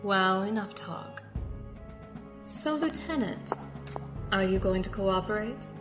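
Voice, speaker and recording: A woman acting in an audio drama as a controlled, condescending security captain with a formal, measured manner and polite menace. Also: medium pitch, lively intonation, music in the background. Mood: coolly threatening.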